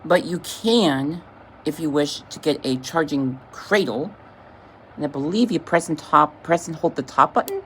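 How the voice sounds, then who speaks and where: A woman giving a casual, informal unboxing demonstration as a product reviewer, speaking haltingly with vague, everyday wording. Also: sits low, lively intonation, slight background noise, rough recording.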